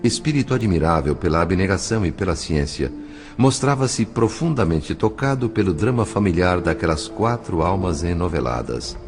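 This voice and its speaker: A Brazilian man playing an earnest, reverent spirit who narrates an audio drama in a formal, measured, literary way. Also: low voice, lively intonation, over music. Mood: reflective, reverent.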